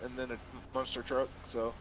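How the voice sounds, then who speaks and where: A man's voice: medium pitch, completely flat delivery, moderate pace. Dull lo-fi male roleplay cop, noisy. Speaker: player roleplaying a police officer. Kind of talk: casual police roleplay. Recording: dull lo-fi game voice chat, noisy.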